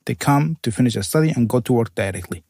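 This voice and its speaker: Gravelly-voiced man, Arabic native speaker. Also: medium pitch, lively intonation, fast, darkish tone, no room sound, clean studio sound. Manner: careful, searching for words, slightly non-native phrasing. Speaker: university program coordinator. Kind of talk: conversational interview answers.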